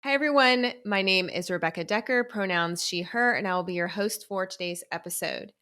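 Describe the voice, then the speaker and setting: A woman's voice: mid-range voice, a little fast, pushed and bright, faint music behind. A woman hosting a podcast, reading an upbeat introduction and asking interview questions. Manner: upbeat, enthusiastic. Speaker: podcast host.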